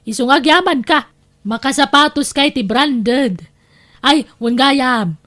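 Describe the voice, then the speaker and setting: Woman acting a high-pitched, bossy aunt. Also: takes it slowly, fairly bright tone. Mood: boastful. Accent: Ilocano. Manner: scolding, insistent. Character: bossy, boastful aunt who nags her nephew. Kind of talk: Filipino audio drama acting.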